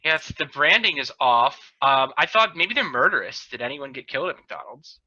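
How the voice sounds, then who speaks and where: A man's voice: mid-range voice, big pitch swings, a little fast, sharp tone. A man hosting a podcast in casual, teasing comedic banter over a dull-sounding video call. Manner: teasing, joking. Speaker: podcast host.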